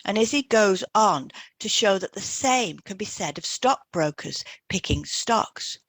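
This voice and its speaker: A woman's voice: mid-range voice, expressive, unhurried, a bit bright, no room sound. A woman co-hosting an educational podcast, reading scripted material in an explanatory way. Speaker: podcast co-host and hypnotherapist.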